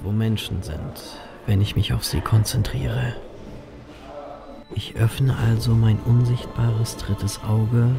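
Grim reaper antihero played by a man, lowish voice, terse and wry, horror audio drama over prominent music. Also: gravelly. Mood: brooding. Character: grim male ferryman reaper, world-weary, streetwise. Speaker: narrator and lead of an audio drama. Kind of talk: first-person horror audio drama narration.

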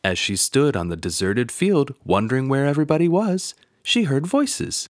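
A man narrating a children's picture book aloud in an expressive storytelling manner. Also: medium pitch, normal speed, no echo, clean studio sound.

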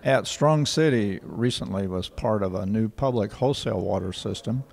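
An older man, answering interview questions in a measured, factual way with frequent pauses. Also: lowish voice, vocal fry, darkish tone. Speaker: rural water association director. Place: indoor office in front of a painting. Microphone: handheld station mic.

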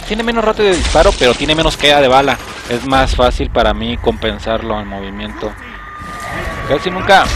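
Animated Mexican man streaming a game. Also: medium pitch. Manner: joking, animated, talks to chat. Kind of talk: casual gameplay commentary. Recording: music playing behind voice.

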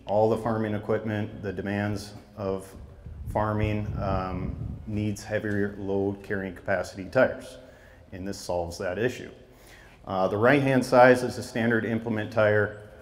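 A man's voice: fairly low pitch, flat delivery, measured pace. A man in his thirties, a regional tire sales manager, giving a product talk into a handheld mic at an outdoor trade expo, explaining steadily with frequent ums. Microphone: handheld microphone.

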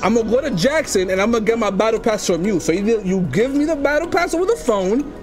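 A man's voice: high-pitched, lively intonation, fast talker, over music. A young man on a headset making prank phone calls from home, pushy and demanding, with lots of street slang. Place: home streaming room, indoors.

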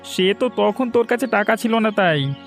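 A high voice over light music: a man performing a young cartoon man who bullies and mocks. He is cocky and argumentative, always taunting whoever he talks to. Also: expressive, moderate pace. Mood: smug. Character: cocky, mocking young man, bullying grudging friend. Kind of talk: cartoon moral-story acting.

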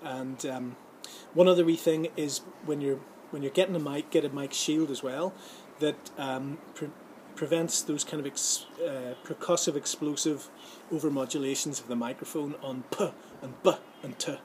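A man's voice: a little high, fairly even intonation, moderate pace, few pauses, a little mellow, low-quality recording. Middle-aged man explaining, slight outdoor noise. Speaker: host giving voice-over advice. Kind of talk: informal tutorial to camera. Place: outdoors in a sunny garden or patio. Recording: outdoor ambient background noise.

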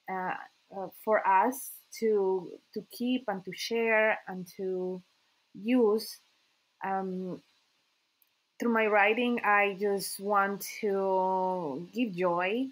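A woman with a Colombian accent and Spanish as her native language, introducing herself informally as a podcast host, with hesitant, pause-filled delivery. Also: mid-range voice, rough recording.

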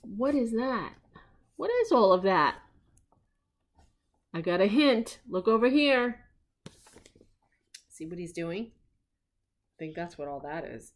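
Animated middle-aged woman reading a storybook. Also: mid-range voice, takes it slowly, long pauses. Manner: expressive, chatty asides to young listeners. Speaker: grandmother reading stories on her own show. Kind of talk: children's storybook read-aloud with asides. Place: living room at home.

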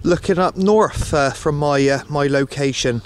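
Hesitant man with a slightly higher voice. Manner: hesitant, pausing, matter-of-fact. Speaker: radio hobbyist filming himself. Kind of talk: casual outdoor vlog narration. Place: outdoors on an open hilltop. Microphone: handheld camcorder, operator behind camera.

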